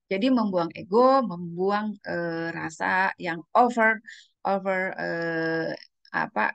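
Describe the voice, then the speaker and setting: A woman's voice: fairly low pitch, fairly bright tone, no echo. A woman giving an informal training presentation as a communication trainer, instructive and conversational, often adding tag questions and mixing in English terms.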